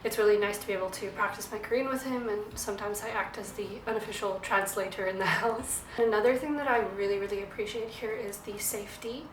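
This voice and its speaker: A young woman from South Africa, slightly hoarse, chatting upbeat to camera in a casual vlog. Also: mid-range voice, quick pace, hardly any pauses, slight background noise. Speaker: vlogger. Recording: echoey room.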